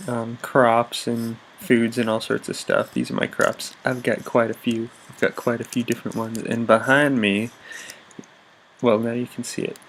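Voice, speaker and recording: A man's voice: medium pitch, level tone, normal speed, a bit bright, rough recording. A young man with a slightly stuffy, congested voice chats through a video game, upbeat and rambling, stopping to correct himself often. His voice sits in a medium register, recorded on a desk mic with a faint hiss underneath. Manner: rambling, upbeat, lots of ums and self-corrections. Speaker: gaming YouTuber. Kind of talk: casual Let's Play commentary.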